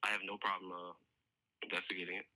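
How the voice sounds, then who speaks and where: A man's voice: deep voice, little pitch movement, fast, bright, forceful tone. A man talking informally as a police detective on a recorded work phone call, explaining things in a hedging, conversational way.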